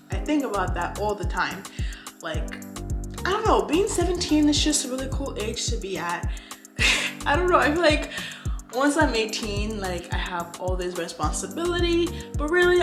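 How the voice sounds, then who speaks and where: A chatty teenage girl from the USA vlogs about money, her voice sitting a little high and sounding roomy. Music plays behind her as she rambles through her points with plenty of "like". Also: big pitch swings, normal speed. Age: teens. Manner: chatty, full of "like" and "I don't know". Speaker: finance YouTuber. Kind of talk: casual talking-to-camera vlog.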